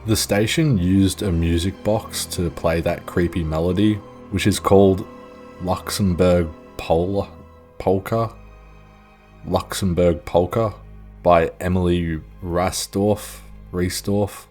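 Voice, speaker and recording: A young man with a very low voice narrates casually over music. He is informal and self-deprecating, happy to drop a swear word or an aside into his documentary delivery. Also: steady tone, slow pace, a little mellow. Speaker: video narrator. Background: background music.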